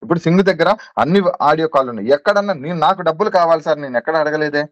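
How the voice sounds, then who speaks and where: A man's voice: a little high, rapid-fire, no room sound, dull audio. A man being interviewed on a recorded call, protesting insistently and repeating himself as he defends his position.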